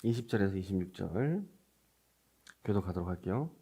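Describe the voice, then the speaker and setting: A man's voice: low-pitched, animated, moderate pace, dark tone, breathy edge. A man preaching as a pastor, explaining scripture in a conversational way and putting questions to his listeners. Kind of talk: Sunday sermon with scripture reading.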